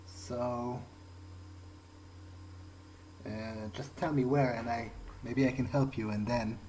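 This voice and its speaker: A man's voice: medium pitch, fairly even intonation, takes it slowly, dark tone, a little hiss or hum, low-quality recording. A man improvising in character as he plays a video game, speaking in a hesitant, musing, understated way. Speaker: let's player.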